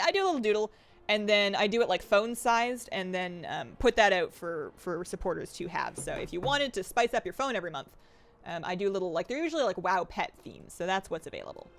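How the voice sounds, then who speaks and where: A young woman streaming a game live, chatting excitedly and reading her chat aloud. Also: mid-range voice, quick pace.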